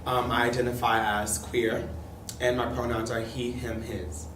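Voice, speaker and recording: A man's voice: medium pitch, flat delivery, unhurried. A young man from South Carolina, candid and conversational, answering documentary interview questions. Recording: echoey room, distant mic, background noise.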